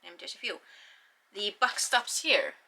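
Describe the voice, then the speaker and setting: Young Icelandic woman, book vlogger, bright, chatty, rambling delivery. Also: mid-range voice, lively intonation, measured pace, creaky. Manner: rambling, chatty, with frequent sighs and ums. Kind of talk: casual book haul chat.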